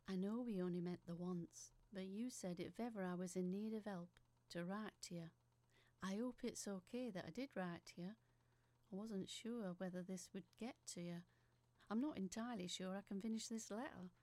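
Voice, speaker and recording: A woman's voice: medium pitch, darkish tone, no room sound, close to an everyday voice. A woman playing a chatty, apologetic working-class factory worker, telling her story as a rambling, wistful letter in an audio drama. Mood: apologetic, anxious. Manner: rambling, self-deprecating, wistful.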